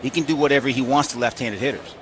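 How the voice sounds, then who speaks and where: A man's voice: mid-range voice, fast. A man giving color analysis during a live baseball radio broadcast. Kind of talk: live commentary, analyzing pitching. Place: outdoor ballpark broadcast booth. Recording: outdoor stadium ambience, clear background noise. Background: stadium ambience noise.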